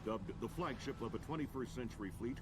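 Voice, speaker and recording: An actor playing a television news anchor who reads world news in a crisp, neutral style in a game cutscene. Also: male voice, medium pitch, lively intonation, fast talker, noisy background, natural voice. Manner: crisp, neutral newsreader delivery. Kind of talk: broadcast news reading.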